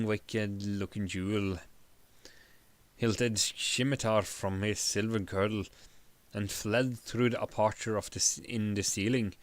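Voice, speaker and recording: A man's voice: fairly low pitch, dry recording. A man reading pulp fantasy prose aloud as a theatrical narrator, sometimes stumbling over his words. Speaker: reader.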